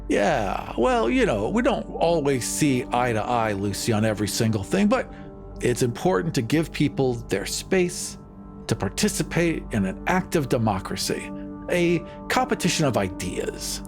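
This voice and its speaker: A man's voice: medium pitch, big pitch swings, moderate pace. A man playing a tabletop roleplaying game, speaking conversationally as he improvises in character. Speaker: player in a tabletop roleplaying show. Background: faint music.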